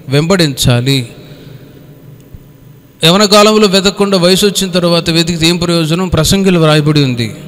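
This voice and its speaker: A male pastor delivers a Bible study sermon in a fairly high voice. He teaches didactically, repeating key phrases and questioning his listeners. His words ring out with the long reverb of a hall.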